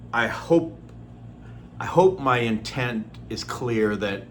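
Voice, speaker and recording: A middle-aged man from Norway, born Norwegian-speaking, talking in an earnest, unhurried way with frequent ums and throat clearing in a personal vlog. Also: lowish voice, fairly bright tone, slight background noise. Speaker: vlogger. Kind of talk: casual personal vlog talk.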